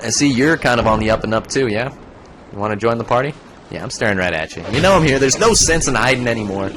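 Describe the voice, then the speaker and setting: A man's voice: mid-range voice, lively intonation, fast, noisy background. A young man streaming a video game, chatting casually, rambling and joking as he plays. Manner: rambling, joking, breaks into goofy voices. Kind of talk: casual gaming commentary.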